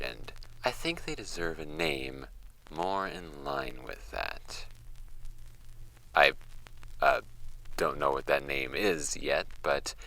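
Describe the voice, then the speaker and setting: A man acting as an amateur podcaster who presents mysterious case files in a wry, measured way. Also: extremely low pitch, vocal fry, sharp tone, a little hiss or hum, heavily acted, rough recording. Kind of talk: scripted horror fiction podcast narration. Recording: music bed behind the voice.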